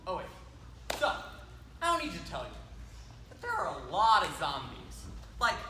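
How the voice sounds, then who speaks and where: A man playing an excitable, rambling squad leader in an amateur stage comedy, recorded in a hall. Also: high-pitched, slight background noise, full character voice. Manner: theatrical, rambling, sidetracked. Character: excitable young squad leader giving a morbid, rambling pep talk.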